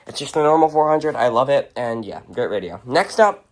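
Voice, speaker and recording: Offhand radio collector with a mid-pitched voice. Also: lively intonation, fairly quick. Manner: blunt, offhand, brief verdicts. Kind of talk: casual unscripted show-and-tell. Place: indoors at home, among shelves and tables of radios.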